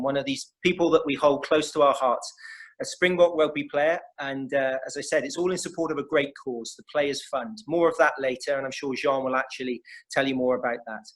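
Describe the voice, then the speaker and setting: A man's voice: mid-range voice, poor audio. A middle-aged man with an English accent, hosting an interview event and introducing the evening in an earnest way, often repeating and restarting phrases. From England. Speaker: host.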